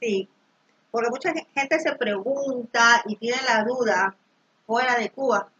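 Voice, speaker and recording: A woman conducts an interview with brief, courteous questions, addressing the guest formally. Her voice is bright yet husky, and the recording sounds dull and lo-fi, with a muffled top end. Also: fairly high pitch, a little slow.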